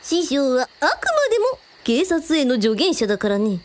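A woman's voice: high voice, big pitch swings, darkish tone. A woman playing an affectionate, girlish young sleuth with playful, quirky phrasing in a radio drama. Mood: earnest. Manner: drawn-out, playful, quirky sentence endings.

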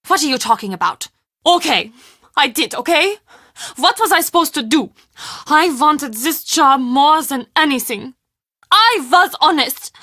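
A woman playing an earnest, defensive castle manager in a video game, speaking in a confiding, expressive, conversational way. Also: sits high, dry recording, clean studio sound, full character voice. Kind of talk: video game dialogue acting.

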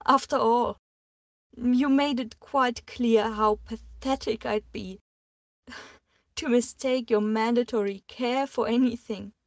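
Woman reading as a tearful, breathless young woman, high and loud, storybook heroine, dry close sound. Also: fairly even intonation, slow pace, no echo. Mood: tearful defiance. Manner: trembling, breathless, desperate pleading. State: crying, hyperventilating. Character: distraught young woman, pleading and near tears.

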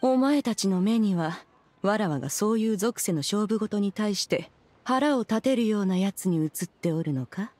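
A woman's voice: mid-range voice, animated, moderate pace, a little mellow, lightly acted. A woman voicing a proud ancient immortal in an anime game, with archaic, imperious phrasing. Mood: haughty. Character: proud, haughty ancient immortal woman, elder demanding respect.

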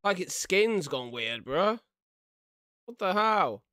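A man's voice: high voice, big pitch swings, slow pace, sharp tone, no room sound. A young man giving casual, jokey reaction commentary with exclamations of dread, close to a desktop microphone. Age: young adult. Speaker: video creator reacting to horror. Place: small room at home. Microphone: large desktop condenser microphone up close.

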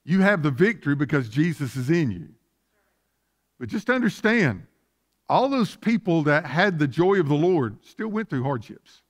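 A man's voice: on the high side, very animated, normal speed. A man in his fifties, a pastor preaching a sermon in an emphatic, conversational way, repeating phrases for weight. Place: church sanctuary, at a lectern on the platform.

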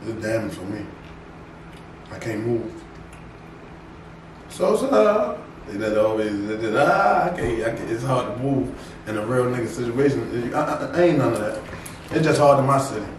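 A man's voice: medium pitch, animated, slight background noise. Mumbling young man, roomy and distant. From Baltimore. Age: young adult. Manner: mumbled, halting street slang. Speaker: rapper. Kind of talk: casual interview.

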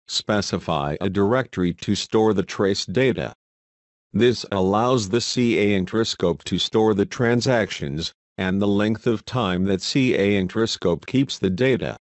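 A man reading a scripted instructional narration in a measured, procedural manner, as a tutorial voice-over. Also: on the low side, dry recording.

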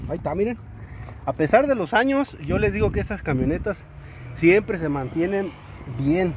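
A Mexican man showing cars at an outdoor market, talking in a lively, salesy way full of slangy diminutives. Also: high voice, normal speed. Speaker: used-car market vlogger. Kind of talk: walk-around car showcase. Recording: outdoor street noise.

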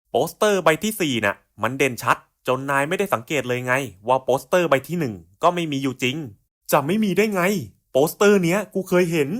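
A man's voice: a little high, fairly bright tone. A man voicing scripted cartoon puzzle skits in casual, slangy, coarse buddy-style banter.

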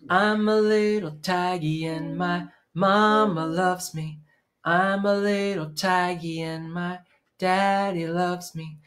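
Gentle man leading a kids' sing-along. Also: high-pitched, fairly even intonation, takes it slowly. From Maine, US. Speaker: children's music teacher. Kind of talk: singing children's songs with sign language. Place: small room at home, indoors. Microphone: webcam at desk distance.

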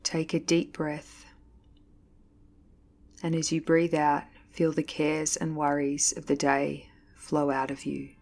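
Female meditation instructor, low register, level intonation, calm scripted delivery with deliberate pauses. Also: gravelly. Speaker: yoga nidra instructor. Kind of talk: guided meditation, scripted narration.

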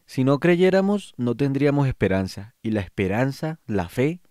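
An actor playing an earnest, consoling Colombian priest in a radio drama, speaking in a measured, reflective way. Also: male voice, mid-range voice, big pitch swings, a little mellow, no echo, clean studio sound, natural voice.

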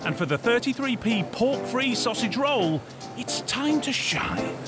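A man's voice: extremely high pitch, sing-song, unhurried. A man narrating a documentary from a script in a wry, playful manner. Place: studio voice-over. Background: prominent music.

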